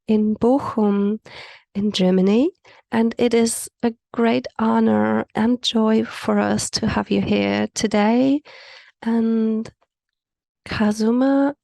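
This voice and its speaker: A woman from Africa, an Afrikaans speaker, hosting an online community call and introducing guests in a halting way that often drops into a soft whisper. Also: a little high, gravelly, no room sound. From South Africa. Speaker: host and facilitator.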